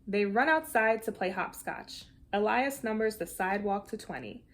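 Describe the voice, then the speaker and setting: A woman reads a children's picture book aloud with an animated, deliberate storytelling delivery. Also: medium pitch. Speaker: storybook reader.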